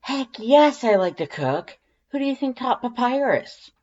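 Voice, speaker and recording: A reader voicing a brash, excitable young monster woman, loud and emphatic, in acted fan fiction dialogue. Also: medium pitch, measured pace, muffled recording, pitched above the performer's own voice. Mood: indignant.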